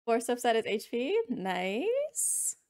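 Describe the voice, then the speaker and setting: Young woman streamer, highish voice. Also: huge pitch swings, slow, a little mellow. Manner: wry, rambling, playful. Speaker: game streamer. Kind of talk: casual live gameplay commentary. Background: game music.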